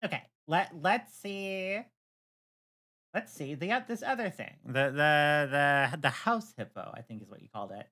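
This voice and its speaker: A woman's voice: low-pitched, big pitch swings, moderate pace, fairly bright tone. A woman hosting a livestream with casual chat, stammering over repeated words and swearing freely. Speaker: livestream host.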